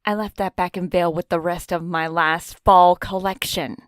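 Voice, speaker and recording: A woman's voice: on the low side, steady tone, moderate pace, dry recording, studio quality, close to an everyday voice. A woman voicing a sassy, fashion-minded teenage girl in a fan audio drama.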